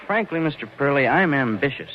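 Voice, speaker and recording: An actor playing a smooth, conniving young man who schemes and flatters, in a 1940s radio drama style. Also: fairly quick, slight background noise, close to an everyday voice. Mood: earnest, ingratiating. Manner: cynical in narration, earnest and polite when pitching. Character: smooth conniving young male job applicant narrating his scheme. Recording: muffled vintage radio recording, faint music.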